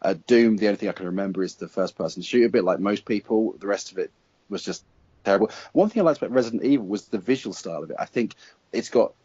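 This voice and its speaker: A middle-aged man giving his opinions as a guest critic in a casual panel discussion, pausing to think as he reasons. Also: lowish voice, dark tone, breathy edge, no echo, muffled recording.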